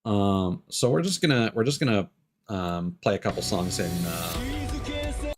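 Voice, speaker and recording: A man's voice: on the low side, wildly swooping pitch, a little slow. A man streaming a video game with casual live commentary, musing aloud as he goes. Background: music behind the voice.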